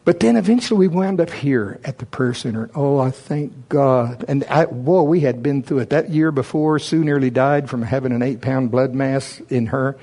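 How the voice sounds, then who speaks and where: Husky middle-aged man preaching, folksy. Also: medium pitch, moderate pace, few pauses. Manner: folksy, animated, self-deprecating. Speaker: preacher giving testimony. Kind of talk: sermon, personal storytelling.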